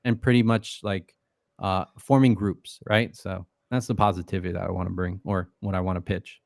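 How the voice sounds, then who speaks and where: A man's voice: sits low, fairly even intonation, normal speed, creaky, darkish tone, no room sound, studio quality. Laid-back, profane male podcast guest. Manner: loose and profane, many fillers. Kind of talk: casual interview talk.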